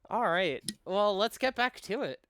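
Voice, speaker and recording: A man's voice: sits high, lively intonation, a little slow, fairly bright tone, smooth, no room sound. A person, a man, hosting a game playthrough in casual chat and reading aloud. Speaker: host of a visual novel playthrough. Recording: music behind the voice.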